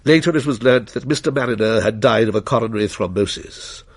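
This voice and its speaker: A man's voice: medium pitch, brisk, slightly rough. A man narrating a ghost story in a dramatic, literary style.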